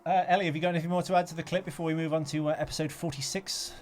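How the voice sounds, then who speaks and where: A man's voice: a little high, flat delivery, very fast, a little mellow, faint music behind. A man co-hosting a podcast, chatting casually about a film with a teasing, sweary manner. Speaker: podcast host.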